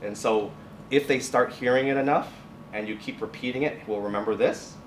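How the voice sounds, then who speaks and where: A man's voice: medium pitch, brisk. A man speaking informally as a teacher presenting to a group, often restarting and correcting his phrases. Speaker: high school biotech and science teacher, guest speaker. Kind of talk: informal presentation with question and answer. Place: classroom or lab at a college. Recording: echoey room, distant mic, faint noise.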